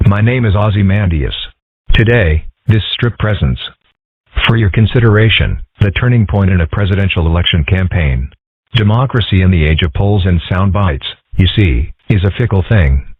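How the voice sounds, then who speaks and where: Man as young cartoon fox, calm, formal, deadpan, low voice, thin phone-like recording. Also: moderate pace, husky, no room sound. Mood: formal, dry. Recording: muffled phone-like lo-fi audio.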